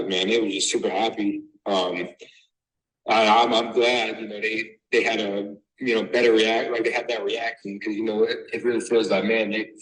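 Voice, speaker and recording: A young man from Massachusetts, raised in Texas, answering interview questions as a basketball recruit, halting with many fillers and restarts. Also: lowish voice, a bit bright, low-quality recording. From born in Massachusetts, raised in Texas. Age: young adult.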